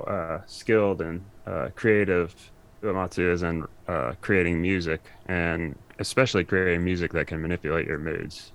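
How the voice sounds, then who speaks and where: A man speaking casually as a guest on a podcast, in relaxed back-and-forth conversation. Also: deep voice. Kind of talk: casual chat.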